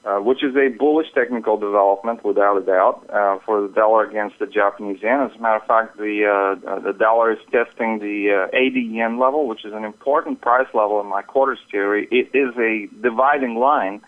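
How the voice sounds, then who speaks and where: A man giving market commentary as an interview guest over the telephone, explaining things in a somewhat halting way. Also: fairly low pitch, fairly even intonation. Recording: phone line with narrow, radio-like sound.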